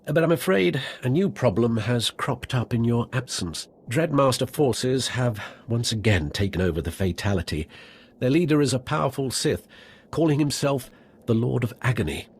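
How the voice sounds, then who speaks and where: A man's voice: medium pitch, animated, normal speed, husky. A man playing a dry-witted military officer in a video game, giving a formal, understated briefing. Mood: grave, businesslike. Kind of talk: scripted video game dialogue.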